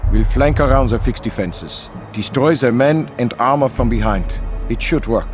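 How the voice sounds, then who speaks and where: An actor playing a level-headed officer in a video game cutscene, dry and matter-of-fact. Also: male voice, medium pitch, moderate pace, lightly acted. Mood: dry, resolute. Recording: loud music under the voice.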